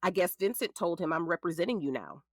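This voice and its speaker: A woman's voice: on the low side, expressive, fast, no room sound, clean studio sound. An actress playing a frank, sassy middle-aged lawyer in a naturalistic audio drama, protective of the ex-husband she represents. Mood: measured, matter-of-fact. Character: frank, sassy female lawyer, protective ex-wife of her client. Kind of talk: naturalistic scripted drama dialogue.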